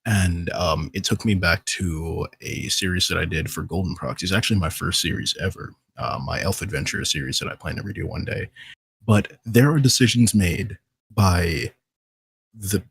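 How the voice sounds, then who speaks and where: A man talking casually and reflectively as a podcast guest, explaining things in a measured way. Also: sits low, vocal fry, a bit bright, slightly rough, no echo.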